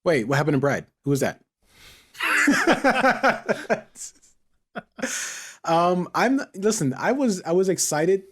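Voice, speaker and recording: Lively, husky-voiced man hosting. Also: sits high, slow pace, long pauses. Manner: playful, teasing. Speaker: podcast host. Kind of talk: casual chat, hosting a podcast.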